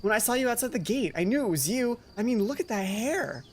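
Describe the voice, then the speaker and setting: A woman voicing an excitable, chatty, teasing teenage schoolgirl roommate in a visual novel game. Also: on the high side, a little fast, pitched above the performer's own voice, natural voice. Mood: excited. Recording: music playing behind voice.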